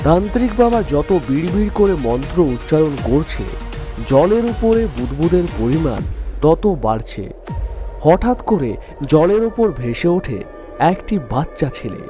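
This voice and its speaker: A male narrator tells a dramatic scripted tale. His pitch swings widely and sits slightly high, with a somewhat mellow tone and light music underneath. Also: big pitch swings, unhurried.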